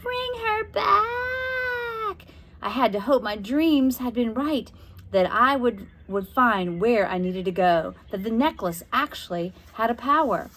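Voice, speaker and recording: A woman reading a spooky children's novel aloud, narrating dramatically with expressive, suspenseful, deliberate phrasing. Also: medium pitch, a little hiss or hum. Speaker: storybook reader.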